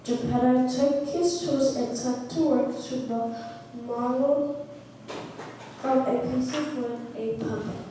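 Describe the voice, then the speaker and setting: A woman's voice: high voice, steady tone, takes it slowly, dark tone. A woman narrating a storybook tale aloud for a puppet show in a big, echoey room. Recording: very echoey hall, far mic, faint noise.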